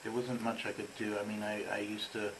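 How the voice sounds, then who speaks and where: A man's voice: on the low side, little pitch movement, fairly quick. A man from London with a London English accent, giving a casual personal account as an interview guest. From London, England. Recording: noticeable background noise.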